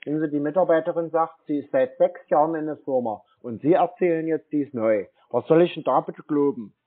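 A man's voice: a little high, brisk. A man on a phone call, arguing as a dissatisfied customer in a long-winded, insistent, scolding way. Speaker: caller posing as an aggrieved customer. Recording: narrow, tinny phone-like sound. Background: phone call recording.

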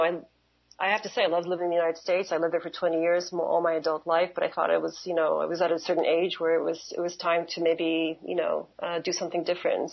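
A woman's voice: fairly low pitch, gravelly, breathy edge, highs cut off. A woman in her forties from Canada and Kuwait, answering interview questions conversationally in a hesitant, reflective way, often rephrasing as she goes. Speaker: interview guest, museum professional.

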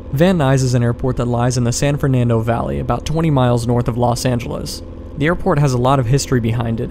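A man's voice: mid-range voice, darkish tone, slight background noise. A young man delivering scripted narration as a pilot and vlog host.

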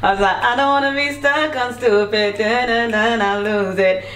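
A woman's voice: on the high side, fairly even intonation, normal speed, fairly bright tone, soft background music. A young woman hosting a casual cooking chat at home, talkative and teasing. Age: young adult. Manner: chatty, playful teasing. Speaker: singer hosting a cooking video. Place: home kitchen. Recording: roomy kitchen echo, mic at a distance.

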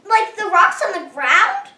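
A woman's voice: extremely high pitch, bright, forceful tone, full character voice. A woman voicing an eager, cheeky little girl puppet in a children's show, childlike and full of exclamation. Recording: roomy echo, distant microphone.

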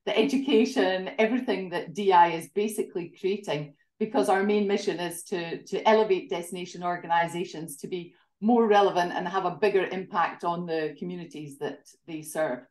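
A woman's voice: fairly low pitch, brisk, few pauses, a little mellow, roomy sound. A Scottish woman in her forties, a tourism association director, talking articulately as an interview guest over a video call from her home. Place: home living room. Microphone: laptop webcam video call.

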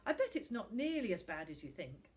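A woman's voice: medium pitch, very animated, a little fast, darkish tone, natural voice. A woman voicing a kindly, easygoing man, a star footballer, speaking casually in an audiobook. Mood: placating. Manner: casual, placating. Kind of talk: audiobook character acting.

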